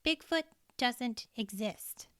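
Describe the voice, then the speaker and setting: A woman's voice: a little high, lively intonation, slow pace, a bit bright, no room sound, studio quality. A woman voicing an alarmed, disbelieving female forest ranger in a story, emphatic and bossy but caring. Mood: firm disbelief. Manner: emphatic, exclamatory. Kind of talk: audiobook character dialogue.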